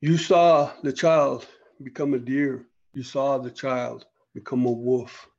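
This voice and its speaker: A man's voice: medium pitch, steady tone, takes it slowly, gravelly, a little mellow, dull audio. A man voicing an angry, commanding male buffalo chief in a storytelling session, with stern, declarative speech. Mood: solemn.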